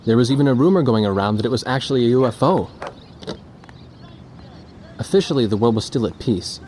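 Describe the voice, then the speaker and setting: A man's voice: mid-range voice, lively intonation, a little fast, a little mellow, close to an everyday voice. A man playing a young, reflective and earnest war photojournalist in a video game. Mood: reflective, sober. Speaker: narrator and journalist character. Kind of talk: scripted narration and dialogue in game cutscenes. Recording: clearly noisy track with vehicle ambience.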